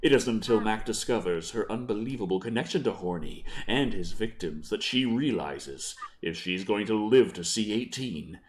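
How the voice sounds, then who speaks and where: A man's voice: fairly low pitch, lively intonation, normal speed, few pauses. A man in his forties, casually chatting as a stream co-host and reading out movie synopses in a rambling way. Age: 40s. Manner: rambling, reads synopses aloud. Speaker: livestream co-host. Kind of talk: casual chat.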